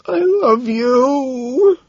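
Lovesick clinger: high-pitched, tearful, wailing, begging pathetically, audiobook drama. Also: male voice, slow pace, darkish tone, slightly rough, no echo, dull audio, full character voice. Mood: miserable wailing. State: crying. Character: sobbing, pleading lovesick man, pathetically clinging.

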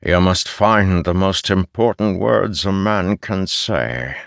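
Guy doing a deep wise spirit voice for a fantasy audiobook, haunting n solemn, really slow and measured. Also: gravelly, fairly bright tone, dry recording, studio quality, full character voice. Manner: slow, deliberate, measured pronouncements.

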